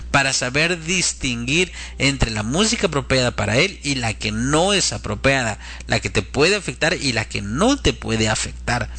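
A man's voice: on the high side, sharp tone. A man preaching informally and earnestly to listeners he addresses as friends, exhorting them with rhetorical questions and repetition.

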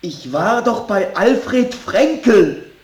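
An actor playing a sullen, evasive young adult son in a radio drama, defensive and drawling. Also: male voice, high-pitched, big pitch swings, very slow, a little mellow, full character voice. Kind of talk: 1980s German radio drama acting. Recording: faint tape hiss.

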